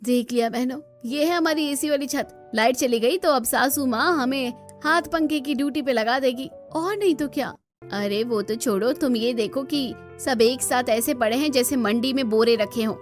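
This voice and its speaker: Lady doing a sassy young village bahu, highish voice, complaining, music under it. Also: moderate pace, close to an everyday voice. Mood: grumbling. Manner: complaining, gossipy. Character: sharp-tongued young village daughter-in-law, grumbling and defiant.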